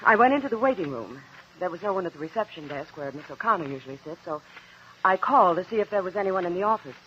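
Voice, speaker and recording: An actress in a 1950s radio drama playing a defensive widowed former nurse, hesitant and indignant on the witness stand. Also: female voice, on the low side, lively intonation, fast talker, a bit bright, a little hiss or hum. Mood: hesitant, uneasy. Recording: muffled vintage 1950s radio recording.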